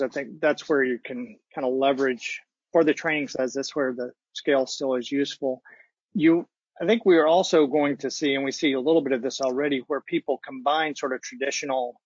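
A man's voice: medium pitch, husky, no echo. A man speaking as a technical panelist in an unscripted discussion. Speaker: panelist from a supercomputing research facility. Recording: dull, lo-fi online call audio.

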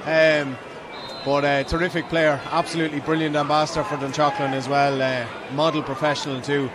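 Irish male match commentator over loud crowd noise. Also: a little high, level tone, fairly quick. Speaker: play-by-play sports commentator. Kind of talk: live Gaelic football match commentary. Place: outdoor stadium stand. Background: loud crowd and ground noise.

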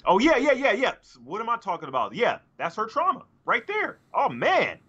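A man's voice: high-pitched, huge pitch swings, moderate pace, sharp tone, slightly rough. A middle-aged man co-hosting a podcast in casual chat, hesitant and full of restarts, on a studio mic over a video call from home. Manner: hesitant, many restarts and fillers. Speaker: podcast co-host. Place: home room, indoors. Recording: dull lo-fi call audio.

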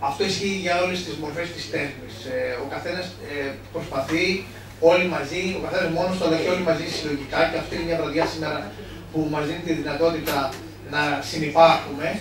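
A man moderating a book launch, giving halting unscripted closing remarks with many fillers and restarts in a small cafe room. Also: fairly high pitch, fairly even intonation, crowd noise. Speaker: event moderator. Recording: very roomy, distant mic, faint noise.

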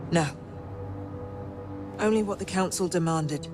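An actress playing a solemn, commanding female master of a mystic order, measured and formal, in a video game cutscene. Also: on the low side, music in the background, close to an everyday voice. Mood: solemn, regretful.

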